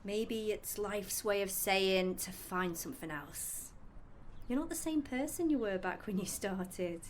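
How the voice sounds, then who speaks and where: An actress playing a perceptive, supportive old friend in a naturalistic audio drama, gentle and encouraging. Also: female voice, medium pitch, a little slow, a little hiss or hum, lightly acted. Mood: friendly, concerned.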